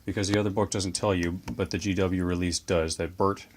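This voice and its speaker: Deep-voiced man explaining game rules. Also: level tone, darkish tone, a little hiss or hum. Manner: chatty, enthusiastic, quick asides. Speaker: host of a tabletop wargame channel. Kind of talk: casual explanatory chat about game models and rules.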